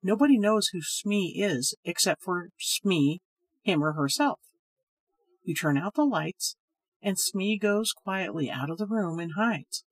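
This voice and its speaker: Storybook role: grave, uneasy male storyteller, read by a woman, husky voice, measured telling. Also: lowish voice, lively intonation, no echo, low-quality recording. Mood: earnest. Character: sad, hesitant man telling a ghost story.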